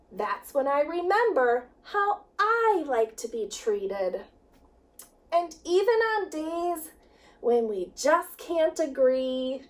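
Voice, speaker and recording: A woman reading a rhyming children's story aloud, expressively and with dramatic pauses. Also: high voice. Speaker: children's director reading a picture book. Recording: distant microphone.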